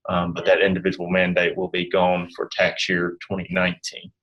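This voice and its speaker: A man giving informal explanations as an interview guest, in hesitant, halting phrases. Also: sits low, completely flat delivery, dull audio. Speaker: guest health insurance specialist.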